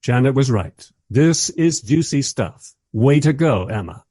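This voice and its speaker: A man's voice: medium pitch, animated, measured pace, warm tone. A man playing a curious narrator in a radio play, confiding wry observations about a recorded interview. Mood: intrigued, wry.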